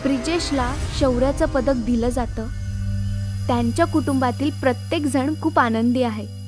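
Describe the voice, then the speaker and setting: A woman's voice: high-pitched, normal speed, music in the background. A woman reading scripted narration as the storyteller of a horror tale.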